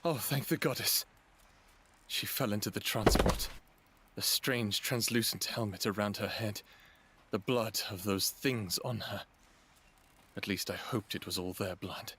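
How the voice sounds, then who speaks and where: A man's voice: fairly low pitch, a little slow, background noise, natural voice. A man playing a wounded, guilt-ridden narrator in an audio drama, speaking emotionally and confessionally. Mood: anguished confession. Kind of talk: audio drama acting with first-person narration.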